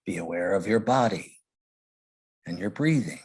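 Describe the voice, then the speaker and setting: A man leading a guided meditation, speaking gently in short phrases with long pauses. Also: sits low, animated, husky. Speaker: meditation leader.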